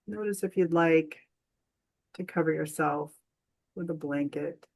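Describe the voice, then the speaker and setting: Very slow, gentle woman guiding meditation. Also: deep voice. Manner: gentle, soothing, unhurried with long pauses. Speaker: meditation guide. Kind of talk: guided meditation.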